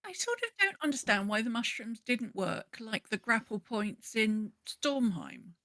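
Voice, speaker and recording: A woman's voice: fairly high pitch, animated, unhurried, fairly bright tone, no echo, studio quality. A woman co-hosting a casual gaming podcast, chatty, often voicing imagined remarks as she explains. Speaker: podcast co-host. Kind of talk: casual chat about a video game.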